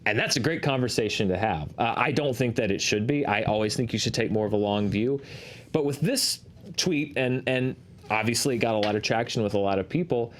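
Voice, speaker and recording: A man's voice: mid-range voice, a little fast. A man hosting a talk show, giving analytical commentary in an explanatory way, often restarting and repeating points for emphasis. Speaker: host of a box office discussion show.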